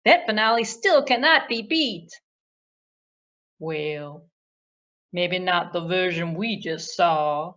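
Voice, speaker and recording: A woman's voice: lowish voice, animated, slow pace, pauses often, a bit bright, lo-fi sound. A woman talking as a storyteller in a theatrical, gushing way, as if reviewing a book for children. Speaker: children's librarian, storyteller and puppeteer.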